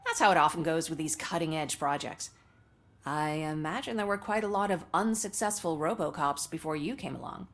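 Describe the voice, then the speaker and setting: A woman's voice: low voice, expressive, a little fast. A wry commercial actress chats in a dry, sardonic way. She is candid and casual, a character in a video game.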